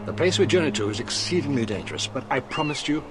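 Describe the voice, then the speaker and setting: An older actor playing a grave, commanding elderly wizard headmaster in a video game, speaking solemnly. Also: male voice, mid-range voice, big pitch swings, over loud music, lightly acted. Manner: solemn, measured.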